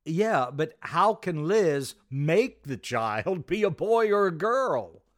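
Man playing wry sitcom sidekick, higher voice, lively intonation, unhurried, sensible, dryly exasperated. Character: sensible, wry male best friend of a flustered husband. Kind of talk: old-time radio sitcom acting.

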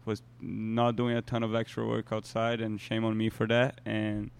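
Smooth-voiced man telling stories. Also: lowish voice, moderate pace. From Brazil. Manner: animated storyteller, many "you know" fillers. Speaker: podcast co-host, soccer player. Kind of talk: casual chat, storytelling.

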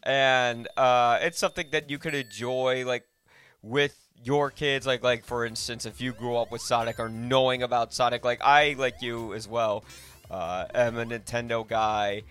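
A man's voice: medium pitch, steady tone, unhurried, fairly bright tone, smooth. A man hosting a podcast, talking through a casual film review in a rambling way with lots of filler words. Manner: rambling, filler-heavy with frequent "like". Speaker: podcast host.

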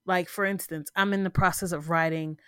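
A congested woman talks through a solo podcast episode in a loose, informal way, sniffling now and then. Her voice sits a little low, and she often stops to check in with "right?" The close mic sounds dry, with no room around it. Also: steady tone, fairly quick, fairly bright tone, clear, resonant tone, dry recording. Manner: rambling, informal, frequent "right?" asides and self-restarts. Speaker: podcast host. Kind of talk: casual solo podcast talk.

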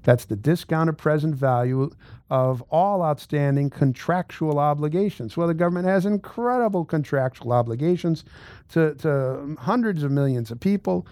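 A man's voice: fairly high pitch, lively intonation, moderate pace, a little mellow, no echo. An American man in his sixties giving informal explanatory financial commentary, blunt and emphatic, repeating phrases for stress. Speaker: host, tax and accounting adviser.

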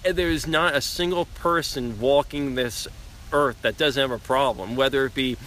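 Man with speech impediment, heartfelt guest, bright voice, faint vehicle noise outside. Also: mid-range voice, normal speed, poor audio. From Long Island, New York. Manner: earnest, repeats words when moved. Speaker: podcast guest. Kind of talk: casual heartfelt conversation. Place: outdoors in the cold.

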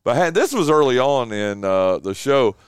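Man, rambling host, a bit rough and deep today, smooth clear voice, dry close mic. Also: sing-song, normal speed, no room sound. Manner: rambling, chatty, lots of uh fillers. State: voice deeper and rough from yelling the night before. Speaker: radio show host. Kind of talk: casual morning show chat. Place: small home radio studio. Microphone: studio mic with headphones.

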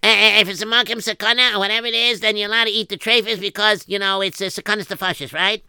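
A man's voice: high-pitched, fairly even intonation, gravelly, bright, forceful tone, clean tone, no echo, voice pushed up, full character voice. A man voicing a cheeky young listener who interrupts to nitpick the story. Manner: quick, nitpicking.

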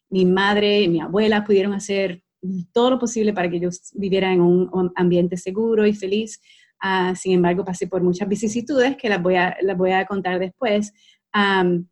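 A Puerto Rican woman in her forties talks as an interview guest. She tells her story in a measured, easy way and draws out the words she wants to stress. Her voice sits at an ordinary pitch for a woman. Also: a little mellow. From Puerto Rico. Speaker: guest, life coach and clinical hypnotist.

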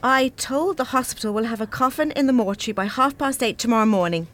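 Woman playing scornful irish daughter, highish voice, brisk, emphatic, penny-pinching, radio play. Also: close to an everyday voice.

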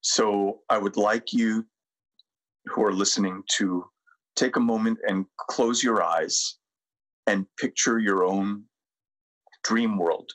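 Slow, low-voiced American man. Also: steady tone, fairly bright tone, rough recording. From United States. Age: middle-aged. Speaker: podcast guest, sustainability consultant. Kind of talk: reflective interview talk and guided presentation.

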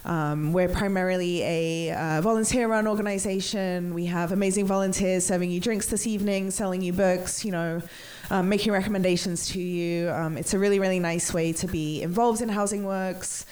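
A woman's voice: fairly low pitch, fairly even intonation, moderate pace, a little mellow. An English woman hosting a bookstore event, nasal from a cold, chatty with many ums and restarts. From England. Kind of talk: event introduction.

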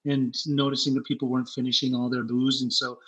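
A middle-aged man speaking at an ordinary, mid-range pitch, telling his life story to an interviewer. He stumbles and repeats words as he goes, then livens up when he acts out old moments. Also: low-quality recording. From born in Germany, raised in Utah. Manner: halting, repeats words often, animated when retelling scenes. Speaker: interview guest. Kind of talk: candid conversational storytelling.

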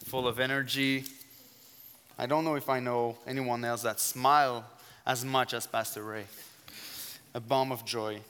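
A man preaching with a strong French accent, his first language being French. His voice is smooth and resonant, and it carries an earnest, fervent emphasis in prayer. Long church reverb rings behind every phrase. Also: medium pitch, flat delivery, measured pace, pauses often, a bit bright. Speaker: guest preacher. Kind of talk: sermon with opening prayer. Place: church sanctuary.

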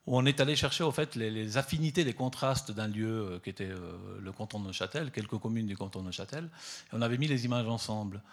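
A middle-aged man from southern Italy, talking about his photography with frequent fillers and repeated words. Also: medium pitch. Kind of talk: hesitant conversational talk at an exhibition.

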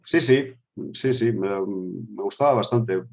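A middle-aged man speaks in a fairly low voice, answering interview questions in a relaxed, halting way full of fillers and restarts. The recording sounds dull and lo-fi. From Switzerland. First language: Spanish with German. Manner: hesitant, many fillers and restarts.

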